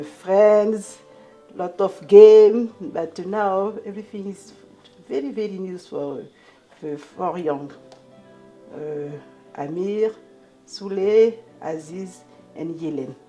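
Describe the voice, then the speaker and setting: A middle-aged woman from Ivory Coast with an Ivorian French accent, telling her own story in halting, limited English as a native French speaker. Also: fairly low pitch, warm tone. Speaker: documentary interviewee, newcomer immigrant. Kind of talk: personal account in an interview.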